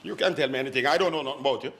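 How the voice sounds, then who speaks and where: A man's voice: medium pitch, racing, a bit bright, natural voice. A man playing a flustered, evasive married Jamaican businessman in a comic stage play, stammering and exasperated. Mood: indignant. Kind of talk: comedic stage play acting.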